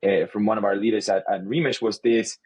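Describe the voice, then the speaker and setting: Husky-voiced man, Spanish native speaker. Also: fairly low pitch, steady tone, brisk, a little mellow. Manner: earnest, frequent restarts and repeated words. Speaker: guest, sales leader. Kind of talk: casual podcast conversation.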